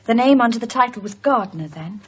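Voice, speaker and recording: An actress in a radio drama playing an intuitive, uneasy woman who is gentle but insistent and speaks earnestly. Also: fairly high pitch, lively intonation, a little fast, husky.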